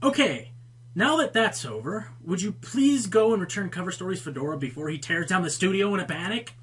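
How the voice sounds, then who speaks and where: A man's voice: sits high, lively intonation, fairly quick, over music. A man playing a wry, easily exasperated pony radio host in a radio play. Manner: wry, dry teasing. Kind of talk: radio play acting as presenter.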